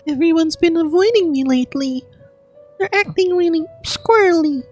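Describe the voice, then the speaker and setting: A performer voicing a sweet, anxious young game character in an earnest, gentle way. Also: extremely high pitch, voice pushed up, heavily acted.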